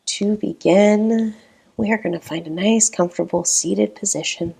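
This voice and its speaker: A woman leading a guided meditation in a calm, gentle, unhurried manner with long pauses between phrases. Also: mid-range voice, gravelly, breathy edge.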